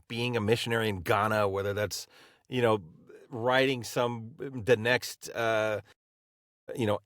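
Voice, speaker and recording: A man co-hosting a podcast, talking in a rambling, halting, friendly way with many restarts. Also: medium pitch, dry recording, clean studio sound. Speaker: podcast co-host. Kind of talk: casual interview chat.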